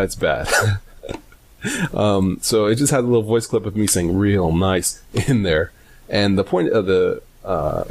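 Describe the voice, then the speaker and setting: A man giving a casual software tutorial, hesitant and informal as he thinks aloud. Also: on the low side. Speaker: tutorial host.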